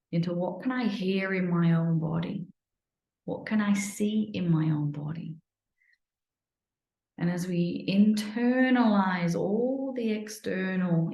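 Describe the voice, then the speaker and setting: A woman leads a guided meditation in a soothing, unhurried manner, slipping at times into near-whispers. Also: on the low side, vocal fry, mellow. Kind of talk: guided meditation, improvised live. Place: indoor room, live online video session. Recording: roomy, echoey sound.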